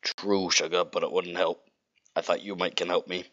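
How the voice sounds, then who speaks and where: Cartoon superheroine man acting her, throaty strained croak, deep pitch, slow drawl, sultry. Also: little pitch movement, fairly bright tone, muffled recording. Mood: resigned. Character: sultry Southern superheroine with a croaky smoker's growl.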